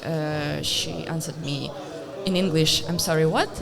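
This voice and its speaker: A woman's voice: sits low, fairly even intonation, creaky, crowd noise. Hesitant young woman with a russian accent. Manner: hesitant, halting, many fillers, laughs often. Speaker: guest, international student. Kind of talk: casual interview chat.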